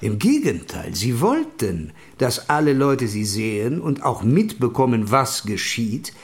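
An actor playing a hot-blooded, shrewd French count in an audio drama, formal and given to French words. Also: male voice, medium pitch, very animated, unhurried. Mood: grave, analytical.

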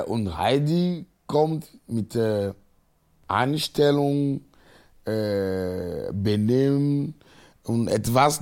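A non-native man informally explaining a story character, thoughtful and halting in his phrasing. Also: mid-range voice, a little mellow. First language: not German. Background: musical instrument.

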